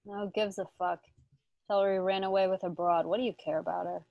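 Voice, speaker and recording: A woman's voice: mid-range voice, steady tone, brisk, vocal fry, no echo, muffled recording, natural voice. A woman acting a crude, irritable, cocky male gangster in an amateur radio play table read. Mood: cocky, dismissive.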